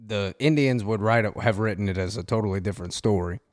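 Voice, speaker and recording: A man co-hosting a casual podcast, speaking in a relaxed, somewhat hesitant way. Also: lowish voice, fast, dry recording, studio quality. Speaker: podcast co-host.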